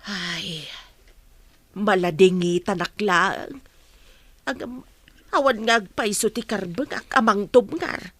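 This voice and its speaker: A woman's voice: medium pitch, slow, pauses often, no room sound. A Filipino woman playing a concerned, disapproving household maid in a radio drama. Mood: troubled, resentful.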